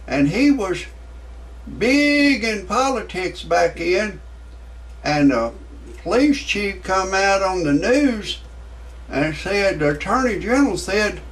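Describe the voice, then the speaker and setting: A man's voice: sits high, lively intonation, takes it slowly, recorded from a distance. A man, ranting profanely in a stand-up comedy monologue. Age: 60s. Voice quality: profane, blustering.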